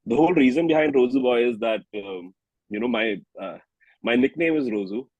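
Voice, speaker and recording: A man's voice: medium pitch, a little fast, darkish tone, dry recording, rough recording. A man in his early thirties with an Indian accent, chatting informally as a singer-songwriter in an interview. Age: early 30s. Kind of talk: casual interview chat.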